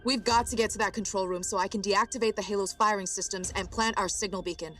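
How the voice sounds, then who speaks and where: A woman's voice: medium pitch, fairly even intonation, fast talker, fairly bright tone, faint music behind, lightly acted. Woman playing a determined, clever scientist. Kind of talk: video game cutscene acting.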